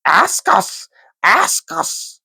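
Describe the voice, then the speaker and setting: Hissing, whining cave creature, high and wheedling, swoops wildly, dry close sound. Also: male voice, huge pitch swings, extremely slow, bright, forceful tone, husky, dry recording, exaggerated voice, poor audio. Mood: whining, upset. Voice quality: hissing, sibilant. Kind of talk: audiobook character voice.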